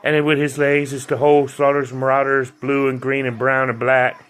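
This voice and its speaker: A man's voice: medium pitch, completely flat delivery, normal speed, fairly bright tone. A man giving a loose, chatty video review of an action figure, with frequent restarts and fillers. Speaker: toy reviewer.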